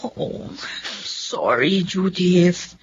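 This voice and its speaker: A woman's voice: on the low side, animated, extremely slow, full character voice. A woman with a Filipino accent playing a sympathetic, remorseful friend, earnest and apologetic, in a radio drama. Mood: worried, apologetic. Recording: dull sound with faint background noise.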